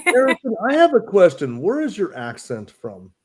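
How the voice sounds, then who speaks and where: A man's voice: high voice, wildly swooping pitch, moderate pace, a little mellow, husky. A man co-hosting a live stream over a video call, friendly and chatty, often restarting sentences. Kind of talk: casual live-stream chat.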